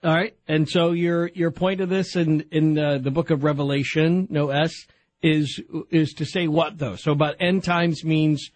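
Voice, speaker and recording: A man's voice: a little high, level tone, normal speed, dry recording. A man hosting a radio call-in show, guiding callers with frequent stammering restarts. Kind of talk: casual call-in chat.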